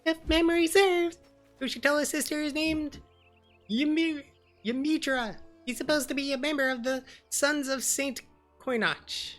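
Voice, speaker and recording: A man voicing a chirpy little female game companion in an exaggerated falsetto. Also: fairly even intonation, unhurried, fairly bright tone, soft background music. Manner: exaggerated falsetto, mocking caricature.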